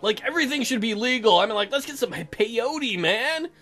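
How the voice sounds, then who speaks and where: A man's voice: very high-pitched, animated, moderate pace, sharp tone. A man hosting a let's play with casual, irreverent commentary, joking crudely and thinking aloud over game music.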